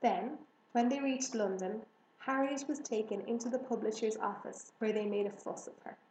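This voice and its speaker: A woman's voice: a little high, normal speed, a little mellow. A woman reading a children's book aloud as storybook narration. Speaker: storybook reader. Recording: roomy echo, faint background noise.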